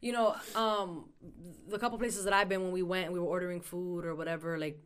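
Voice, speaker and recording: A woman's voice: on the low side, a little fast, clean tone. A woman of Puerto Rican background raised in the US, telling stories in casual chat, swearing freely and getting fired up as she goes. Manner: animated, profane storytelling, voices others in quotes.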